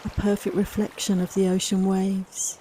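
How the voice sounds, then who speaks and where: A woman leading a guided relaxation meditation in a soft, soothing, unhurried, reassuring manner, with long pauses between phrases. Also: medium pitch, completely flat delivery, slight background noise, rough recording. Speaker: guided meditation and yoga nidra teacher.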